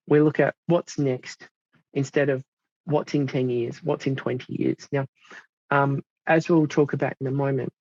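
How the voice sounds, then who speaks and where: An Australian man in his thirties giving a club presentation, halting with many fillers. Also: medium pitch, vocal fry, darkish tone, no room sound. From South Australia. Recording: dull dry lo-fi sound.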